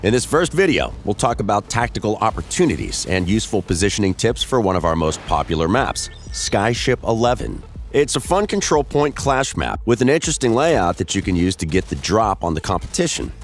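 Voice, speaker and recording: A man's voice: lowish voice, sing-song, fairly quick, faint music behind. A man giving scripted voice-over narration of game strategy tips in a polished, upbeat delivery.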